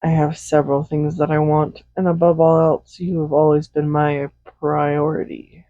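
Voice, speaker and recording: A man playing a mellow, creaky-voiced possessive villain. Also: a little high, monotone, moderate pace, muffled recording, a little hiss or hum, close to an everyday voice. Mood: possessive, longing. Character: smug, possessive male villain doting on captive hero. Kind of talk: scripted intimate audio roleplay. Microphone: close USB condenser microphone.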